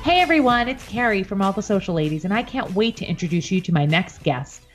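A woman hosting an interview podcast, chatting enthusiastically and cheering her guest on with quick affirmations. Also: mid-range voice, expressive, faint music behind. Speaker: podcast host. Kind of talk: upbeat interview chat.

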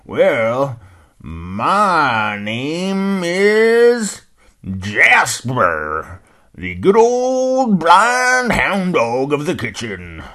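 A man voicing a kindly, slow old blind male hound dog in a bedtime story, drawling and hesitant. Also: a little high, wildly swooping pitch, sharp tone, pitched above the performer's own voice, full character voice. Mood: friendly.

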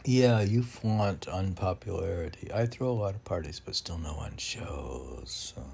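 A man with a gravelly, husky voice reading his own poems, with halting, self-deprecating commentary in which he talks back to himself. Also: low-pitched, expressive, darkish tone. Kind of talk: poem reading mixed with rambling self-commentary.

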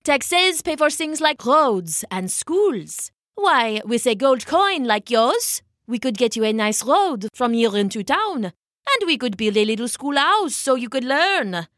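A woman voicing a smooth-talking royal tax collector in a children's story, officious and coaxing with false cheer. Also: high-pitched, very animated, very slow, few pauses, no echo, studio quality, pitched above the performer's own voice, full character voice.

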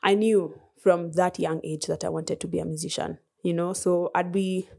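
A young woman in her twenties with a Kenyan accent, a podcast guest chatting casually, soft-spoken with frequent laughs and hesitations. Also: on the low side, steady tone, moderate pace, vocal fry. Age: 20s. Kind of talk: casual interview chat.

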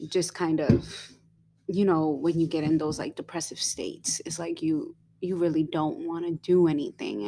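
A young woman co-hosting a casual podcast, talking in a rambling way with many fillers and quick giggles. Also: deep voice, warm tone, a little hiss or hum. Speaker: podcast co-host.

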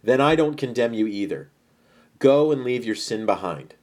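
Man playing an emphatic, solemn Jesus, lively pitch. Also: close to an everyday voice. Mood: calm, gentle. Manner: emphatic, measured, solemn delivery. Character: Jesus, calm but bold, authoritative teacher in his thirties. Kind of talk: dramatized Bible storytelling.

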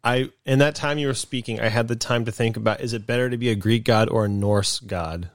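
A man's voice: medium pitch, fairly quick, no echo. A young man co-hosting a casual comedy podcast, rambling and dry-joking, often stopping and restarting mid-sentence. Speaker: podcast co-host. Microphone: desk mic with cameras.

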